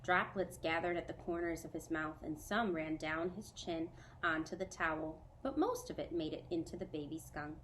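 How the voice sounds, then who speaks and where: A woman teacher reading a children's novel aloud to a student, clear, measured and gentle. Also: deep voice, slight background noise, low-quality recording.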